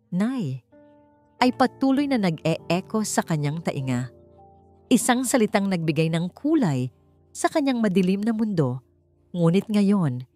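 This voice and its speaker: Woman reading a drama story, voice goes up and down a lot, quiet music bed. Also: fairly low pitch. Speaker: audiobook narrator. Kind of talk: scripted dramatic narration. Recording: faint background music.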